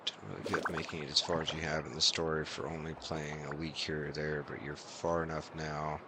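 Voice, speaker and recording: A man's voice: a bass voice, completely flat delivery, a little fast, noisy background. A man who streams games, chatting casually in short muttered fragments as he plays. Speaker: variety game streamer. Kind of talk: casual livestream gaming chat. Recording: game music behind the voice. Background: video game music.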